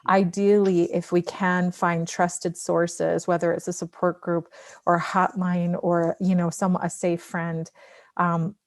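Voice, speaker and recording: A woman's voice: on the low side, little pitch movement, a little slow, dry recording, studio quality. A woman hosting a casual podcast discussion in an appreciative, encouraging way. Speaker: podcast host asking questions.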